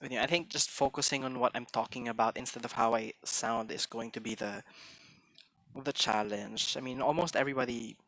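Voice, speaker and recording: A young man chatting casually on a game stream, quick-talking and full of restarts and little fillers. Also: medium pitch, steady tone, a bit bright, no room sound. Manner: chatty, hesitant restarts, mixes in Tagalog phrases; tends to talk fast. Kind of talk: casual live-stream chat.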